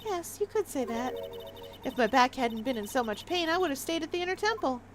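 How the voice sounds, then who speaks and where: A woman voicing an elderly nun with a bad back, telling her story gently and unhurriedly in a game. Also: high-pitched, lively intonation, a little fast, background noise. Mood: recounting.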